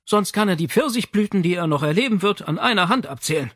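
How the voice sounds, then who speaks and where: Man acting indignant mother, high pitch, plaintive, fretful, animated, audiobook character. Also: fast talker, dry recording, studio quality. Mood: worried. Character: exasperated, indignant, worrying Italian mother.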